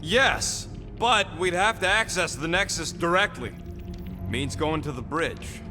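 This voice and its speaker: A cranky, cynical little rodent from a video game, wisecracking in rough slang. The voice sits high and swoops around a lot, with music playing clearly behind it. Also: male voice, expressive, a little slow. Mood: grumbling. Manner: gruff slangy wisecracking with dropped grammar. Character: cynical, irritable small talking raccoon-like rodent tech expert.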